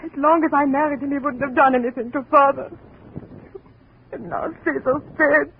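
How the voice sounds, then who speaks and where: Upset young woman, super high shaky pleading voice, tinny old radio. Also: fairly even intonation, normal speed, creaky. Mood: distraught. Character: distraught young daughter of a killer. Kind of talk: 1950s radio drama acting. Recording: phone-like, hissy old radio recording.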